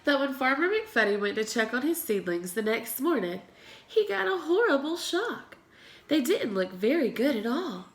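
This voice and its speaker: A woman reading a children's storybook aloud in an expressive way, as a teacher does for young children. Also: high voice, moderate pace, slight background noise.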